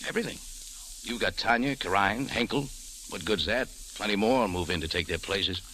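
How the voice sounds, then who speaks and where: Weary hard-boiled radio detective. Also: male voice, lowish voice, a little fast, bright, forceful tone, a little hiss or hum, rough recording. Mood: weary, wry. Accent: American. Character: weary, hard-boiled American private investigator. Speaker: lead radio actor. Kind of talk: 1940s-50s radio detective acting. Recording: old radio recording with music.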